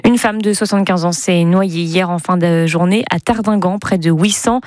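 A woman's voice: fairly low pitch, darkish tone, no echo, studio quality. Woman reading regional radio news. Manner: crisp, measured news delivery. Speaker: newsreader.

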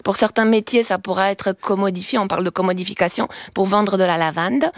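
Woman with a gravelly, dry studio voice. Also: medium pitch, steady tone, no echo. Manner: measured, explains step by step with small restarts. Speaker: guest expert on accents. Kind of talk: explanatory radio talk.

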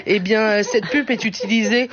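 A woman's voice: medium pitch, normal speed, crowd noise. A woman radio columnist delivering a comic chronicle in a mock-earnest, ironic way with playful asides. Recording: dull muffled sound, loud noise.

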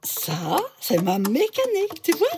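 A woman voicing a chatty, boastful female saxophone in a cartoon, animated and full of exclamations. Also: a little high, measured pace, gravelly, slight background noise, exaggerated voice. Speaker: talking instrument telling her history. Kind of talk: cartoon voice acting. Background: background music.

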